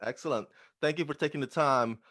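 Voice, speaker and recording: A man's voice: a little high, fairly quick, a bit bright. A man working as an interviewer, putting prepared questions to his guests.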